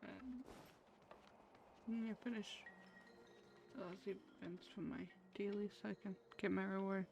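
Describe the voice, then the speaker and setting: A woman's voice: mid-range voice, a little slow, creaky, long pauses, darkish tone, lo-fi sound, slight background noise. A young woman streaming a game, chatting casually in a rambling, distracted way. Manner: rambling and distracted, many fillers. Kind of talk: casual gameplay commentary. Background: game music.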